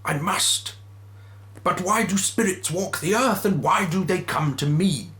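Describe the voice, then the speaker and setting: A man's voice: high-pitched, unhurried, fairly bright tone, pitched above the performer's own voice. A man voicing a frightened old miser who pleads in a trembling voice. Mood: terrified.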